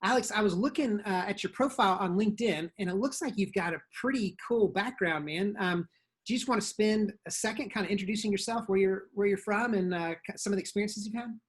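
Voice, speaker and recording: A man hosting a podcast interview in a relaxed, casual way. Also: high-pitched, quick pace, husky. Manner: laid-back, uses "man" and "very cool". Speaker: podcast host.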